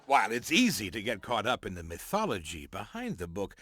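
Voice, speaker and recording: Pompous, wildly lilting professor. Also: male voice, fairly low pitch, wildly swooping pitch, fairly quick, bright, forceful tone, slightly rough, no room sound, clean studio sound, exaggerated voice. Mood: condescending. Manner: pompous, florid. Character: pompous, self-important male professor and author. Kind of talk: video game voice acting.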